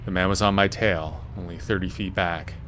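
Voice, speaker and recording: A man with a very low voice narrates a first-person horror story from a script. Faint ambient noise sits behind his voice. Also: fairly even intonation, moderate pace, a bit bright.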